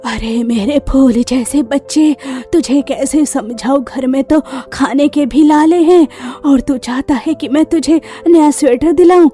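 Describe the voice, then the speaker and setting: A woman's voice: high-pitched, measured pace, hardly any pauses, warm tone, soft background music. A woman voicing a loving, worn-down grandmother from a poor village in a cartoon story. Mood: tender, sorrowful. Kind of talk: Hindi cartoon story character voice.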